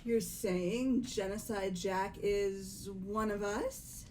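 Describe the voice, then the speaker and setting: A woman's voice: mid-range voice, steady tone, takes it slowly, darkish tone, close to an everyday voice. A woman voicing an earnest, disbelieving teenage schoolgirl in a casual, conversational amateur game dub. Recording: noticeable background noise.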